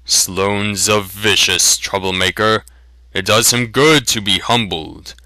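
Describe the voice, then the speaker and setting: A man voicing a gruff, good-humoured, plain-spoken blacksmith in a fantasy audiobook. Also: fairly low pitch, bright, forceful tone, no room sound. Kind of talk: dramatic fantasy audiobook reading.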